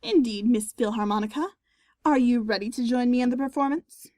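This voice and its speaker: A woman's voice: fairly high pitch, a little fast, warm tone, dry recording, clean studio sound, natural voice. A woman playing a sardonic but forgiving pony musician in an audio drama, with a wry, mock-formal manner. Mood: friendly, forgiving. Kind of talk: audio drama character acting.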